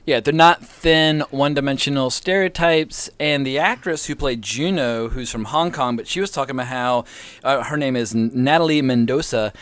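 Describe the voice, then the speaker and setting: A man's voice: medium pitch, normal speed, a bit bright, clear, resonant tone, no room sound. An American man co-hosting a casual film discussion, speaking in an articulate, emphatic and enthusiastic way. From United States, near the Appalachian Mountains. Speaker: guest co-host, film podcaster.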